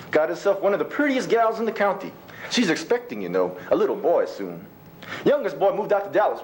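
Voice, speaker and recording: A man's voice: on the high side, a little hiss or hum, voice pushed down, low-quality recording. A man voicing a bigoted old rancher grandfather in a folksy drawl, reciting a dramatic monologue poem. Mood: proud, folksy. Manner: folksy drawl, exclaiming, colloquial rural speech.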